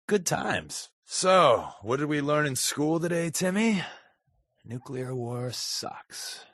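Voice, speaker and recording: Wry, husky undercover agent. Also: male voice, on the high side, slow pace, no room sound, clean studio sound, exaggerated voice. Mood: wry, sarcastic. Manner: sarcastic, deadpan, conversational. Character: wry, wisecracking undercover agent, male, secretly wounded. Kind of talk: video game companion dialogue.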